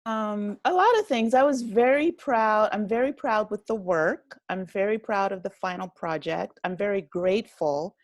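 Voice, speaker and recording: A woman's voice: lowish voice, no echo, studio quality. A woman speaking as an interview guest, reflective and appreciative, in a casual conversational style. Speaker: interview guest, writer and director.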